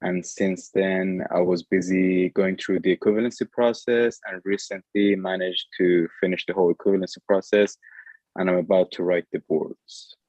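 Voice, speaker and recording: Deep-voiced man on a dull video call. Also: level tone, darkish tone, no echo. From Iran. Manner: brisk, matter-of-fact listing. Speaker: foreign-trained dentist, guest speaker. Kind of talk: casual self-introduction.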